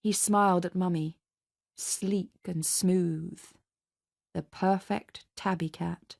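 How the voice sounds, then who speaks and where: A woman's voice: fairly low pitch, fairly even intonation, slow pace, gravelly, darkish tone, dry recording, clean studio sound. A woman reading a dramatic scripted story as narrator. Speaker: narrator and reader.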